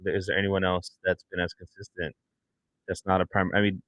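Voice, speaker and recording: A man's voice: low voice, level tone, brisk, a bit bright, no echo. A man chatting casually as a panelist on a music podcast in a studio. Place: indoor studio.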